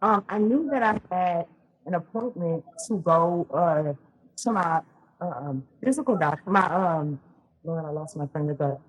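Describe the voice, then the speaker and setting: A woman's voice: fairly low pitch, a little slow, husky, rough recording. A woman, a podcast guest telling her life story in a chatty way, full of ums and restarts. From Louisiana, US. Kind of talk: casual storytelling interview.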